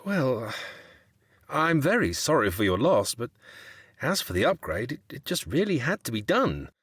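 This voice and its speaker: A man's voice: medium pitch, huge pitch swings, moderate pace, fairly bright tone, breathy edge, no room sound, clean studio sound, exaggerated voice. A man playing a polite but flustered, officious transport director in an audio drama, hesitant and bureaucratic. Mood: apologetic.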